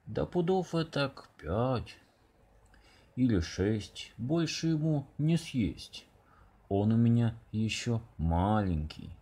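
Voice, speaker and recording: A big, placid father elephant from a children's storybook, acted by a man. His voice is husky and dark, and he answers tersely and without hurry. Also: medium pitch, sing-song, slow pace, exaggerated voice.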